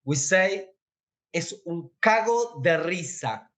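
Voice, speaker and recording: A man with an Argentinian accent and native Spanish, chatting as a guest and explaining things haltingly as he searches for words. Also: fairly high pitch, expressive, fairly bright tone. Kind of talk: casual explanatory chat.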